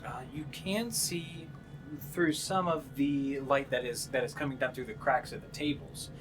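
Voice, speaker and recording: A man narrating a tabletop game as game master, describing scenes in a halting, improvised way. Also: medium pitch, over music. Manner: halting, pieces descriptions together as he goes. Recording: clearly noisy room sound.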